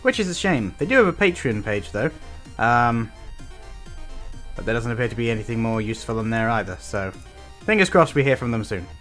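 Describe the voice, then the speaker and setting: A man's voice: medium pitch, sing-song, brisk, fairly bright tone, soft background music. A man hosting a reaction video with casual commentary.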